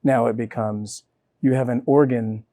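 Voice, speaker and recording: A man's voice: medium pitch, fairly even intonation, measured pace, dark tone, no echo. A man on a discussion panel, reflectively summarizing another speaker's point. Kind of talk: roundtable discussion.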